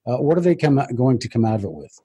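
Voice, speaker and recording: A man with a husky voice, casually hosting an interview as the presenter of a book show. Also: mid-range voice, very animated, quick pace, mellow.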